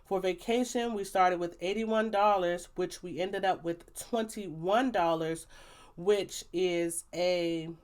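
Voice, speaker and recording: A young woman with a loud, lively voice talks through her monthly savings numbers into a plugged-in mic. She sounds upbeat and chatty, tossing in slangy asides between figures. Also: fairly low pitch, slow. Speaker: budgeting vlogger. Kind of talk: casual budgeting update. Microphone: plug-in microphone.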